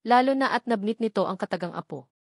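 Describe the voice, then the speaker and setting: A woman's voice: medium pitch, animated, fast, gravelly, darkish tone, dry recording, clean studio sound. A woman with a Filipino accent reading a first-person romance novel as a scripted narration. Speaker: audiobook narrator.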